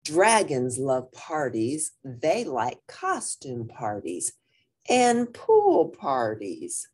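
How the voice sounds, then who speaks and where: A woman with a husky voice reading a picture book aloud to young listeners in an animated, deliberate manner, with brief asides. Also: extremely low pitch. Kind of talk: expressive children's read-aloud with brief asides.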